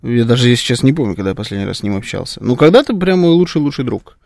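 Male radio co-host, lively pitch, halting and wry delivery, dry studio sound. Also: vocal fry, no echo. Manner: hesitant, wry, many fillers and restarts. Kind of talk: live radio talk.

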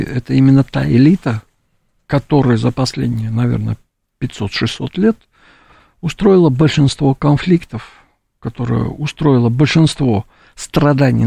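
A man speaking as a studio guest in a live political interview, in a halting, opinionated way that keeps appealing to the listener. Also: medium pitch, warm tone, no room sound. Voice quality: gravelly, husky. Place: radio studio.

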